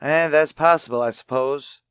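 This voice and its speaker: A man's voice: a little high, normal speed, a bit bright, smooth, dry recording, natural voice. An actor playing a rich, philandering husband who callously taunts his wife in an old radio mystery drama. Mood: bitter.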